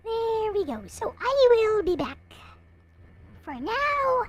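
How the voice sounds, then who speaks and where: A woman's voice: extremely high pitch, very animated, slow pace, dull audio, very noisy. A woman livestreamer chatting casually to her viewers in a hesitant, rambling way. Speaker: live streamer, host.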